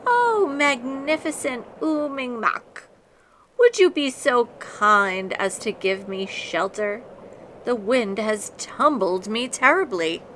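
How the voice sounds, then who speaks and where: A snowy owl from a picture book, played by a woman, pleads with flowery courtesy. The voice is pitched up and bright, with faint noise behind it. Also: animated, slow pace. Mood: pleading. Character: grand, flattering snowy owl begging shelter.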